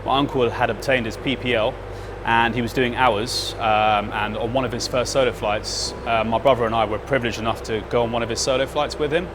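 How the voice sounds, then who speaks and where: A man in his thirties from the United Kingdom, a pilot trainee giving a measured interview testimonial with frequent ums. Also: mid-range voice, steady tone, noisy background. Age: 30s.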